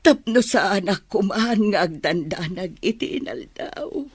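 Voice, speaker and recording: A woman's voice: medium pitch, animated, measured pace, husky, no room sound. A woman playing an anxious, devout and grateful mother in an Ilocano drama, speaking earnestly and emotionally. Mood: grateful, sorrowful. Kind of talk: Ilocano audio drama acting.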